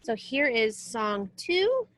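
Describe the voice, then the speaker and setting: A woman reading a children's music book aloud as a teacher, expressive and explanatory. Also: on the high side, slow pace. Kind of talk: read-aloud lesson for children.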